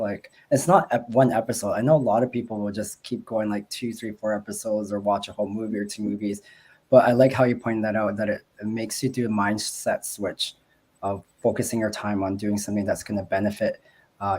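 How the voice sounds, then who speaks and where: A man in his forties, from the Philippines, speaks on a video call with a voice made raspy by a cold. He rambles, with lots of fillers. His voice is low. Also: a little mellow. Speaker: guest asking questions. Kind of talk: casual video call chat.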